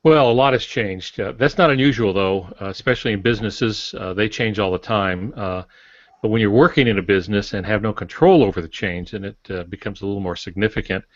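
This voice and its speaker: Older man, low voice, dry close sound. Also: fairly quick, no room sound. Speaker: veteran author on a podcast. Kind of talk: casual conversation. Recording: dull close lo-fi audio.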